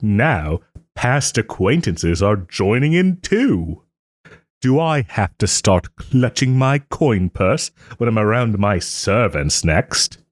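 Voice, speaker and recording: A man's voice: lowish voice, very animated, no echo. Man acting as proud sarcastic nobleman, audio drama roleplay, haughty, swooping intonation, dry close sound. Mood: offended, mocking. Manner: sardonic, haughty, theatrical phrasing with pointed pauses. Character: wry, wounded young nobleman lord, sarcastic and proud.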